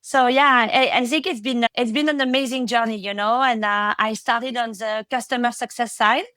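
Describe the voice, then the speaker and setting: Guest voice: French-accented woman, thirties, higher pitch, dry close sound, chatty with lots of fillers. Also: steady tone, moderate pace, pushed and bright, no echo. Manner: chatty, many fillers and restarts. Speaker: podcast guest, product executive. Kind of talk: casual interview talk.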